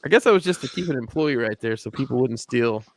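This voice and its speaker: A man's voice: medium pitch, lively intonation, quick pace, no room sound. A man co-hosting a podcast, telling rambling stories with crude jokes in casual chat. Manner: joking, rambling, crude humor. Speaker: podcast co-host.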